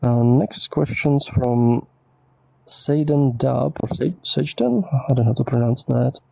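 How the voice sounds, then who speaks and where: A man's voice: medium pitch, a little slow, vocal fry, darkish tone, a little hiss or hum, rough recording. A man whose first language is Czech, hosting a casual livestream Q&A, reading viewer questions aloud and rambling through his answers with lots of fillers.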